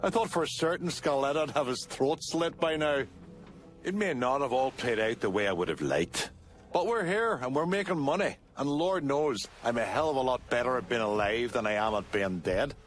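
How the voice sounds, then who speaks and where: An actor playing a profane, wry Irish gangster with a gruff, scheming manner. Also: male voice, medium pitch, lively intonation, moderate pace, lightly acted. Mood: relieved, wry.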